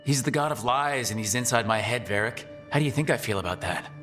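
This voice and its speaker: A man's voice: medium pitch, lively intonation, fast, a bit bright, big-room sound, natural voice. Man as an earnest young hero, music behind. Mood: troubled, doubtful. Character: young male hero, earnest, self-doubting recruit leader. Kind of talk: video game voice acting.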